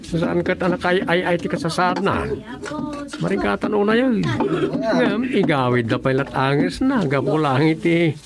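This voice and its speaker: A man's voice: high voice, lively intonation, a little slow, gravelly, a loud crowd, heavily acted. An actor playing an earnest, curious village councilman in a Filipino radio comedy drama, questioning and conversational, with an Ilocano accent. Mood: concerned.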